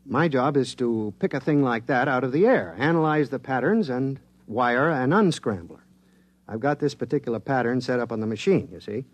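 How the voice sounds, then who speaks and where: A man's voice: medium pitch, moderate pace, lightly acted. An actor playing an earnest male military radio decoder who explains his technical work in a 1950s radio drama. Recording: vintage 1950s radio transcription.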